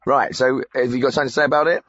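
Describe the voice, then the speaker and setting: Man playing a husky, inane phone-in host. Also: mid-range voice, steady tone, very fast, a bit bright, no room sound, studio quality, lightly acted. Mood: chatty. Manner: awkward, inarticulate, placating. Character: inane, agreeable male radio phone-in host. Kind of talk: spoof radio phone-in comedy.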